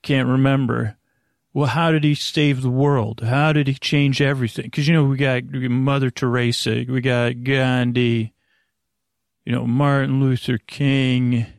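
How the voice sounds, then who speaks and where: Gravelly, meandering man rambling softly off-script. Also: mid-range voice, level tone, dry recording, studio quality. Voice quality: gravelly, creaky. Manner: meandering, halting, stammering, repeating word starts. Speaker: podcast host. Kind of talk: rambling improvised bedtime-story podcast monologue.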